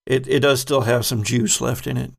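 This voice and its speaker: A man with a deeply gravelly, creaky voice runs a tabletop horror game as game master. He describes scenes at an unhurried pace, filling gaps with ums. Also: steady tone.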